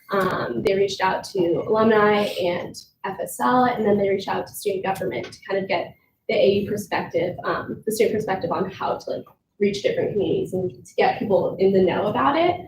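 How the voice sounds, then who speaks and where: Congested young woman, echoey meeting room. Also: mid-range voice. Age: young adult. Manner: chatty, many "um"s and "kind of". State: congested, sick. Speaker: student government vice president. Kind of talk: formal meeting report. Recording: echoey room, far from mic, noisy.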